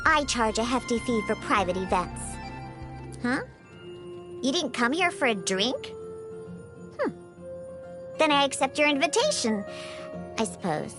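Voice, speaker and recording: Snooty lil cat girl from a game, grumpy n sulky, high voice, slow drawn out words, music behind. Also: big pitch swings. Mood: haughty, grudging. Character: haughty, grumpy little cat-girl bartender. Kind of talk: video game character voice lines.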